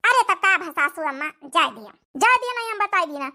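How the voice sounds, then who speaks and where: A woman's voice: extremely high pitch, big pitch swings, brisk, bright, forceful tone, full character voice. A woman voicing a plain-spoken, obliging but feisty village daughter-in-law in a comic cartoon, with an Awadhi accent. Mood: defiant.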